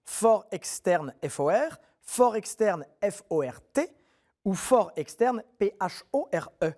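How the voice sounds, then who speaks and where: A man hosting a televised quiz show, reading questions clearly and encouraging contestants in a friendly way. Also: high voice, big pitch swings, slow pace, darkish tone.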